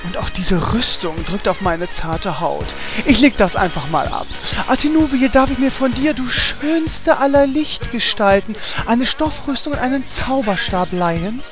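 A man voicing a big male warrior who turns fussy and poetic, speaking in a drawn-out, affected way. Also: very high-pitched, over music. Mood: dainty.

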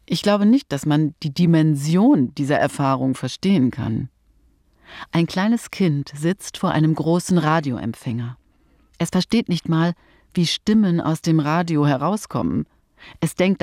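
An actress in a radio play as a reflective adult recalling childhood memories in a measured monologue. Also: female voice, fairly low pitch, a little mellow, dry recording, clean studio sound.

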